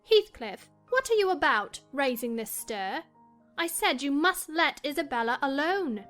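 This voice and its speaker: A high-voiced, hot-tempered young mistress of the house played by an actress in a classic novel drama. Her commands swoop widely in pitch, haughty and sharp-tongued, over faint music. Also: big pitch swings, measured pace, heavily acted. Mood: angry.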